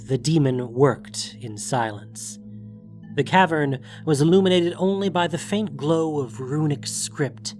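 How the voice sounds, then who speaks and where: A man's voice: medium pitch, darkish tone. A man reading scripted third-person narration for a fantasy audio drama.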